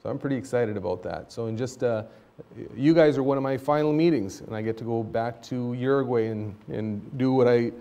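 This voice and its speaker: A middle-aged man who grew up speaking Spanish gives an earnest missions talk from a church pulpit. His tone is mellow and warm. He talks in a conversational way and climbs higher when he presses a point. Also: brisk, vocal fry. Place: church sanctuary stage at a pulpit.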